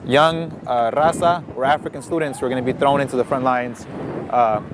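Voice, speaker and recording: A man, a community organizer and teacher, speaks in a fast, heated interview outdoors, with many fillers. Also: mid-range voice, steady tone. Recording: noisy street audio.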